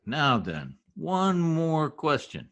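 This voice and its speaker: A man's voice: on the high side, huge pitch swings, takes it slowly, a bit bright, exaggerated voice. Man playing a swooping, blustering American census taker. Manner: slangy, pompous, mangles words. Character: blustering, folksy, middle-aged American husband, bumbling census taker. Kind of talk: old-time radio comedy acting.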